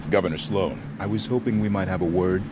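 A man playing a composed, courteous military squad leader in a video game, speaking in a measured, formal way. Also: low voice, heavy background noise, lightly acted. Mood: polite, composed.

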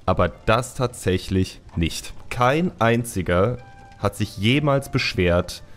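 A man's voice: on the low side, animated, takes it slowly, faint music behind. A man hosting a podcast in casual, candid conversation, speaking colloquially and repeating words for emphasis. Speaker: podcast host and YouTuber.

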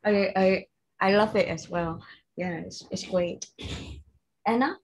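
A young woman moderates a panel over a video call, putting her questions slowly and gently. Her voice sits at a middle pitch for a woman. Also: slight background noise. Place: home living room, indoors.

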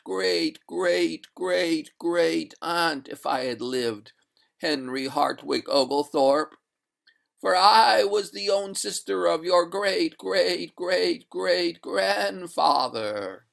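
A haughty drowned maiden's ghost , acted out by a man reading a storybook, pitched well up and gurgling. The words come slowly and drawn out, with formal indignation and a doomed air. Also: gravelly, fairly bright tone, full character voice. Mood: mournful, doomed. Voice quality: gurgling, watery. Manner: slow, drawn-out, formal old-fashioned speech. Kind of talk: storybook character voice.

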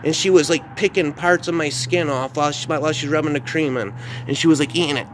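A man with a high voice improvises a dark first-person story. He sounds agitated, repeats himself and swears in casual slang. The recording is noisy, made outdoors. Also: steady tone, fast. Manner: agitated, repetitive, profane, slangy.

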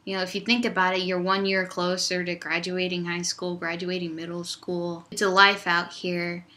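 A young woman talks to her webcam in a loose, upbeat ramble, her voice low for a woman yet bright and crisp. She sounds close to a laptop mic in a small room. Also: level tone, moderate pace. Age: young adult. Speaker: vlogger. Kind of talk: casual vlog chat. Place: small dorm room, indoors. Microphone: laptop webcam at desk distance.

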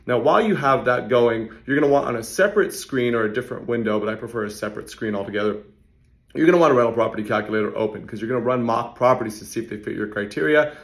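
A man in his thirties talks straight to his phone camera in an office hallway, his voice hoarse and worn from yelling. The tone is gravelly with plenty of fry, and he moves quickly through casual, salesy explanations. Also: medium pitch. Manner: fast, conversational, salesy asides. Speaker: real estate investor and channel host. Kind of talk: casual talking-head explainer.

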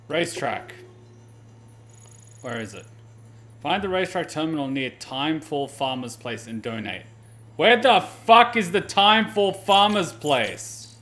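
Higher-voiced man muttering through game commentary. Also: big pitch swings, unhurried, pauses often, pushed and bright. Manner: muttering to himself, swearing. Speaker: game streamer. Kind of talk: casual gameplay commentary.

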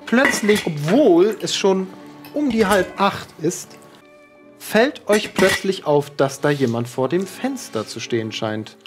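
A man's voice: sits high, expressive, takes it slowly, soft background music. A man narrating a tabletop role-playing game as its game master.

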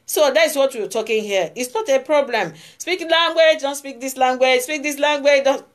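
A woman's voice: sits high, moderate pace. A woman in her forties with a Nigerian Igbo accent, talking straight to her phone camera in a casual vlog, emphatic and repeating her points. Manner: emphatic, repeats phrases, gestures while talking. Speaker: vlogger. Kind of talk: casual political vlog monologue. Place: indoor room before hung flags. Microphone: phone camera close up.

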